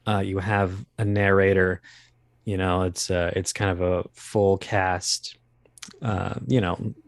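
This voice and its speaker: A man's voice: sits low, level tone, takes it slowly, no echo, clean studio sound. A man speaking as an interview guest, explaining his voice acting craft in a hesitant, rambling way with wry asides. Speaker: guest, voice actor.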